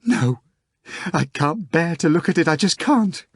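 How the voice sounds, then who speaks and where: A woman's voice: very animated, slow pace, darkish tone, slightly rough, no room sound, clean studio sound, exaggerated voice. A man voicing a hysterical young female secretary who wails through her tears in a slightly nasal, breathless way. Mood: wailing. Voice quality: a little nasal from crying. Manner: shrill, frantic, breathless wailing. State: crying. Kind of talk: audiobook character voice.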